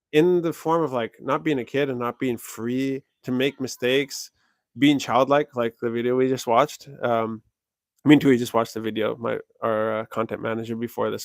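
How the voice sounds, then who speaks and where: A man's voice: mid-range voice, dry recording, clean studio sound. A young man from Canada hosting a livestream, answering viewer questions in a loose, rambling way full of "like" fillers and restarts. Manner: rambling, many "like" fillers and self-corrections. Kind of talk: casual livestream Q&A.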